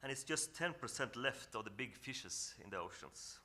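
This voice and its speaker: A man from northern Norway with a Norwegian accent gives an earnest, reflective talk on a panel, often pausing and restarting as he searches for English words. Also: medium pitch, lively intonation, sharp tone. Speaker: panel speaker. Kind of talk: reflective presentation at a symposium.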